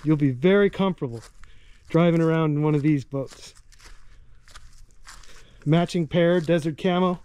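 A man's voice: on the high side, moderate pace, long pauses, dark tone, smooth. A man giving a plain, matter-of-fact walkaround outdoors as a kayak rental guide, talking into the camera he holds. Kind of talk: casual walkaround video. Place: outdoors on a rural property. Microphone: camera held by the speaker.

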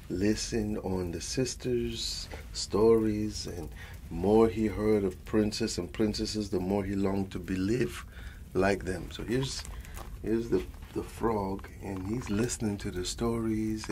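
A man playing an affectionate old grandfather with a Latin American Spanish accent, softly telling a bedtime story in an ASMR roleplay, rambling and repeating endearments as he switches between Spanish and English. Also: fairly low pitch, slight background noise. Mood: gentle, engaged.

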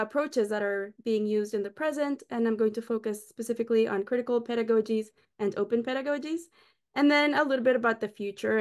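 A woman's voice: mid-range voice, darkish tone. A middle-aged woman from Costa Rica giving a friendly, explanatory lecture over a video call from a small room. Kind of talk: online lecture with slides. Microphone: webcam on a video call.

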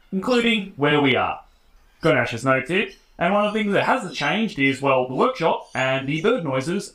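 A man's voice: mid-range voice, fairly bright tone. Australian man talking in an echoey garage. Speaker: YouTube woodworking creator. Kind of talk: casual talk to camera. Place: home garage workshop, indoors. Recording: roomy garage echo.

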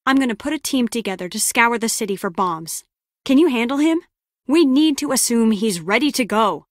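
A woman's voice: on the high side, no echo. An actress playing a hard-nosed, sardonic female spy in a video game, with a dry, wry manner. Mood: brisk, commanding.

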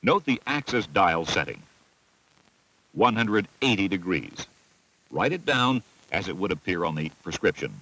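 Deep-voiced American man narrating instruction. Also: big pitch swings, measured pace, bright, forceful tone, rough recording. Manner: clear, methodical, instructive. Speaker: narrator of a military training film. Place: voice-over recording.

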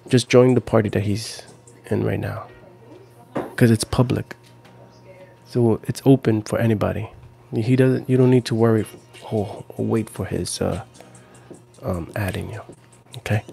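A man's voice: on the low side, steady tone, unhurried, gravelly, long pauses, mellow, faint music behind. A man in his forties hosting a playful ASMR stream close to the mic, often whispering and repeating words and phrases. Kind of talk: ASMR live stream, whispered trigger words and casual chat. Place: small room at home with gaming screen. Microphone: close handheld recorder on stand with foam windscreen.

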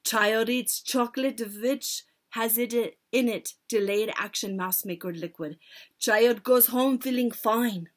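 A woman playing a gloating, high-pitched old witch ringleader. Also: measured pace. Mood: commanding, explaining. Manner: commanding, triumphant, foreign-style broken grammar.

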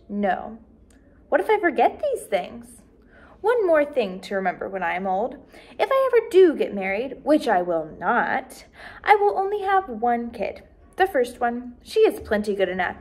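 High-voiced young woman reading a children's book. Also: darkish tone. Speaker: first grade teacher reading aloud. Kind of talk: children's book read-aloud, expressive narration.

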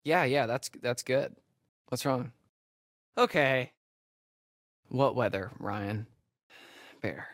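A man's voice: medium pitch, takes it slowly, pauses often, a bit bright, no room sound, studio quality. A young man with an American accent playing a sarcastic, nervy camp counselor in a video game, speaking in a hesitant, stammering way. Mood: sardonic. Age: young adult. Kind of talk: naturalistic video game acting.

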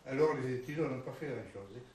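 An older man speaking in a halting, plain way about his schooling, in a kitchen. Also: medium pitch, a crowd in the background. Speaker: interviewee. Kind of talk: casual recollection. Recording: echoey room from far off, faint hiss.

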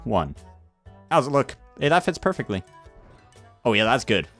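A man's voice: medium pitch, big pitch swings, normal speed, a bit bright, soft background music. A man chatting casually as he leads friends through a video game. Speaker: gamer leading a group gameplay video. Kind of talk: casual gameplay chat.

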